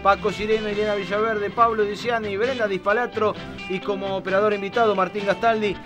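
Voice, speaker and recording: A man's voice: high voice, flat delivery, a little fast, music in the background. A man with an Argentine accent who acts in radio theatre, giving a live on-air sign-off and credits. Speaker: radio drama actor and announcer.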